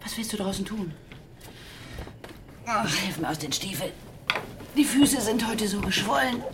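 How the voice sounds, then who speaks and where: A woman's voice: mid-range voice, unhurried, background noise, natural voice. A woman playing a weary, bossy waitress mother, nagging and complaining, in a German radio drama. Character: weary, bossy working-class mother, a waitress with sore feet.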